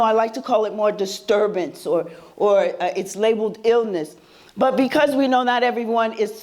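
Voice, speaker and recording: A woman with a soothing, slightly high voice gives a workshop talk. She speaks conversationally and keeps turning questions back to the room. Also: a little mellow. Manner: conversational, quizzes the audience with questions. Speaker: presenter at a mental health symposium. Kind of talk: interactive workshop presentation. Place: conference room before a live audience.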